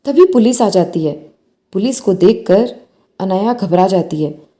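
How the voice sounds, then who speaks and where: A woman's voice: mid-range voice, lively intonation, a little slow, dark tone. A woman narrating a scripted bedtime moral story.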